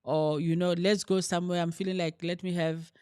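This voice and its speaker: An earnest woman co-hosts a relationship podcast, talking her points through in casual chat and pausing to search for words. The sound is dry and close, with no room echo. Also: lowish voice, flat delivery, dark tone, smooth. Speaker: podcast co-host.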